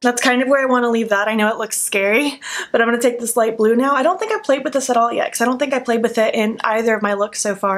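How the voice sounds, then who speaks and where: A young woman in a casual makeup tutorial, talking softly with a sleepy early-morning voice and narrating each step as she works. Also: on the high side. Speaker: beauty vlogger. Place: at home.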